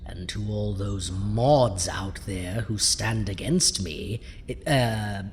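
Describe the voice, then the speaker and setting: A woman's voice: extremely low pitch, takes it slowly, slight background noise, exaggerated voice. A woman playing a zombie doctor who hosts a campy horror show, with a pompous, florid, theatrical manner. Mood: grandly theatrical. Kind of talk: campy horror host monologue. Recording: long hall reverb.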